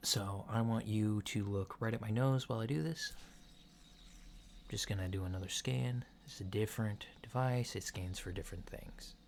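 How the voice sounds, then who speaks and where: Male ASMR roleplayer as a mildly awkward alien doctor: lowish, very slow, hesitant, gently clinical delivery, faint noise behind. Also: gravelly. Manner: soft-spoken, hesitant, clinical and matter-of-fact. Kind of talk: ASMR medical checkup roleplay. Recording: lo-fi home recording with background noise.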